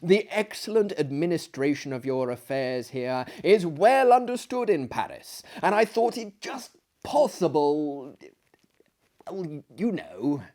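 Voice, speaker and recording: A man voicing a tactful young gentleman visitor in a story, speaking formally, carefully and with deference. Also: a little high, very animated, measured pace. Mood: apologetic.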